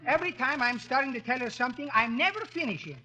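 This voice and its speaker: A cheerful Greek immigrant character from old radio comedy, high in pitch and thickly accented. He muddles his words and grammar happily. The recording is noisy and crackles with age. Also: expressive, quick pace, sharp tone, full character voice. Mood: cheerful, chatty. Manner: malapropisms and mangled grammar. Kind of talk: 1930s radio comedy acting.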